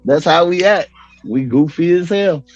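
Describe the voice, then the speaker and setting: A man's voice: on the high side, unhurried, no room sound, faint music behind. A man in his thirties joking and swearing as a podcast guest on a video call, laughing a lot. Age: 30s. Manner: profane, joking, loud laughter. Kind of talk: casual banter. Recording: dull video call audio.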